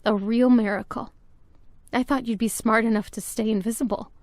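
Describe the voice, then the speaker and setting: A woman's voice: on the high side, steady tone, normal speed, no room sound, natural voice. A woman playing an exasperated, biting character in a crime game drama, sardonic and reproachful. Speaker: voice actor in game cutscenes. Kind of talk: dramatic scripted dialogue.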